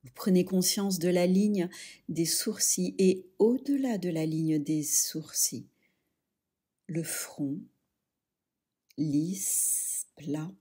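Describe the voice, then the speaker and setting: A woman leading a guided yoga relaxation, speaking softly and soothingly in short phrases with pauses. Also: fairly low pitch, slightly rough. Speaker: yoga nidra instructor.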